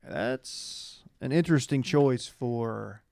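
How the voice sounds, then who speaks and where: An American man with a clear, smooth voice at a middling pitch chats as a podcast co-host. He banters casually and teases as he goes. The sound is dry, with no room echo. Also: slow, darkish tone. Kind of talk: casual bantering recap chat.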